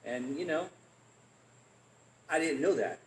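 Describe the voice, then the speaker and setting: An adult man as guest speaker, answering questions and telling relaxed anecdotes in a conversational Q&A. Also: a little high, slow pace. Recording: echoey room, faint noise.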